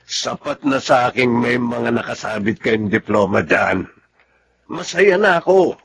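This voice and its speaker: A man's voice: medium pitch, expressive, a little slow, fairly bright tone, slight background noise. An actor playing a modest, plain-spoken Filipino father of about fifty in a radio drama, reluctant and protesting. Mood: surprised, reluctant. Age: 50s.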